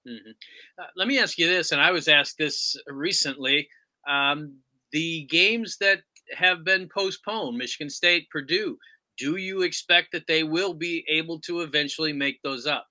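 Very bright, halting American male interviewer. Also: on the high side. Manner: halting, deliberate, frequent long hesitations. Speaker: interviewer, sports journalist. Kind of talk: conversational sports interview.

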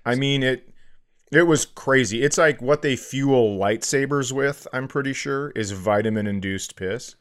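A man co-hosting a comedy podcast, telling halting, exaggerated stories in casual comic banter. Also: medium pitch, a bit bright.

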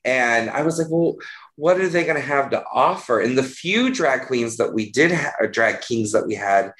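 A man co-hosting a casual chat show, playful and animated with big swings in his voice. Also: mid-range voice, normal speed. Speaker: podcast co-host. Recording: roomy echo.

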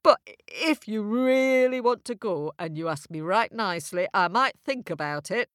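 Woman doing grumpy north wind guy, gruff and booming, storybook style, bouncy pitch. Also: animated, moderate pace, no room sound, clean studio sound, natural voice.

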